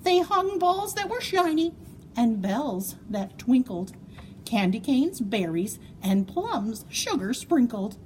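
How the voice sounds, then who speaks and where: Very animated, high-voiced woman reading a storybook. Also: a little hiss or hum, low-quality recording. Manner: expressive, playful, dramatic emphasis and drawn-out words. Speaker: storybook reader. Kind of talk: animated children's story read-aloud.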